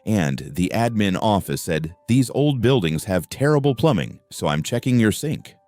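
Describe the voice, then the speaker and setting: A man voicing a pushy, energetic young male college athlete who bluntly brushes off objections. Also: lowish voice, normal speed, no echo, soft background music.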